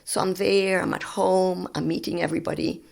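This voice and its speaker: A woman in her sixties whose first language is Spanish, a guest scientist telling stories of her work in a relaxed, animated way. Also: on the low side, fairly quick, vocal fry. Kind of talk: conversational storytelling.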